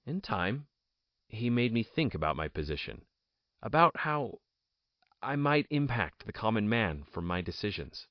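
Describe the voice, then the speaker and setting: Man acting a theatrical, low-voiced fallen nobleman politician. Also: big pitch swings, no echo, lo-fi sound. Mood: indignant, recollecting. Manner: theatrical, deliberate, emphatic with dramatic pauses. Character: ousted nobleman politician, jailed idealist, bitter and proud. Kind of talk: dramatic first-person audio drama monologue.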